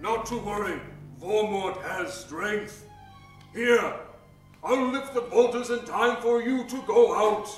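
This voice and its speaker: A man playing a lonely, noble, misshapen giant beast who speaks simply, in old-time radio serial style. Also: high-pitched. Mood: brave, urgent. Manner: slow simple speech, speaks of himself by name. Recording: very echoey stage, distant mic, faint music.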